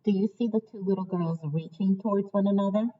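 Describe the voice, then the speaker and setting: A woman reads a children's story aloud as a teacher would, putting questions to her young listeners. Her pitch sits in the middle range for a woman. The recording is a little dull and lo-fi. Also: fairly even intonation, fairly quick. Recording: dull, lo-fi sound.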